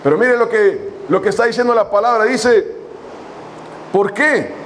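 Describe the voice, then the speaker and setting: A man with a high voice preaches to his congregation, slowing down to read scripture aloud. A faint hiss of noise sits behind him in the church room. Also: animated, fairly bright tone, poor audio. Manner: preaching with long pauses, reading scripture slowly. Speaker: pastor. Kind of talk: sermon. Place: church hall.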